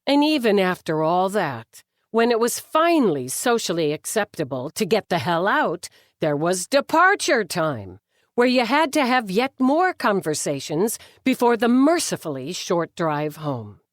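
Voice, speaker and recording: A woman narrates a novel with wry delivery. Her mid-pitched voice moves expressively through the lines at a measured pace, recorded in a very dry space. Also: very animated, dry recording. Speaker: audiobook narrator. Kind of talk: scripted fiction narration.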